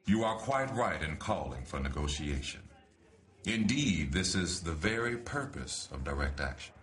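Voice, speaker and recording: A man reads a written letter aloud like a solemn speech, with deliberate and emphatic phrasing. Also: sits low, very animated, a bit bright. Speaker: reader of a letter. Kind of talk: solemn oratorical reading.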